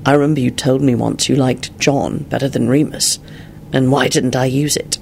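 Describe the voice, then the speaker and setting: Man playing a hushed, coldly controlled wizard hero. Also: normal speed, creaky, a little hiss or hum, lightly acted. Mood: quiet, stunned. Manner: low, hushed, flat, calm.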